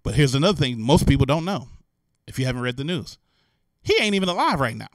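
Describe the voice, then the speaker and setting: A man hosting a show, giving animated, incredulous, joking and slangy commentary on the news. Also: mid-range voice, fast talker, no echo. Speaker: host. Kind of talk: comic commentary on news stories.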